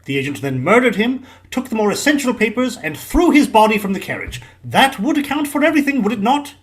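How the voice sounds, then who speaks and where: Fussy male police inspector acted by a man, high voice, very animated, cocksure, defensive, radio drama. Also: a little fast. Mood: smugly confident. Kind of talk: radio play acting.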